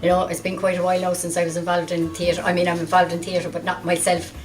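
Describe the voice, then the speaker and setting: Lower-pitched Irish woman chatting over music. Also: completely flat delivery, fast. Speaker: amateur actress being interviewed. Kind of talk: casual chat. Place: launch event at an arts centre. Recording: music playing in the background.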